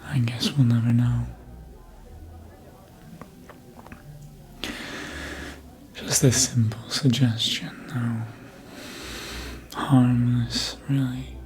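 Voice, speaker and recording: A performer voicing a suave, manipulative vampire in a whispered ASMR roleplay, breathy and deliberate, speaking close to the microphone. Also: mid-range voice, steady tone, darkish tone, close to an everyday voice. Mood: hypnotic, teasing. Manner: slow, deliberate, hypnotic, insinuating.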